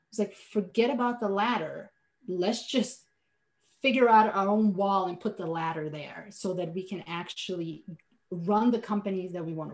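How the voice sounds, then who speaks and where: A woman's voice: mid-range voice, darkish tone. Earnest woman. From Slovenia. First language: Slovenian. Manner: earnest, reflective, with frequent restarts. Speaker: guest, finance business owner. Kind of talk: podcast interview answers.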